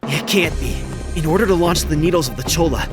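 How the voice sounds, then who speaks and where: A man playing an anxious, questioning young horse racer in a dramatic anime fandub, excitable and incredulous in manner. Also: fairly high pitch, fairly quick, loud music behind the voice, natural voice. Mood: tense, reasoning. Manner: excitable, incredulous, swinging from muttering to shouting.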